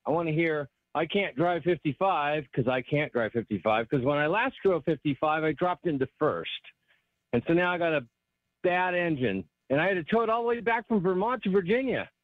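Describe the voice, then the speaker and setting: A man's voice: a little high, expressive, fairly quick, dry recording. A man from the United States leaving a playful request as a radio caller on a phone line. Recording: dry, tinny phone line.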